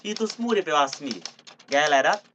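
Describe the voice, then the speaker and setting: A man's voice: on the high side, expressive, a little fast, sharp tone, a little hiss or hum. A man acting a teasing, suspicious fisheries officer in a radio drama, with a casual, slangy Belizean Creole accent.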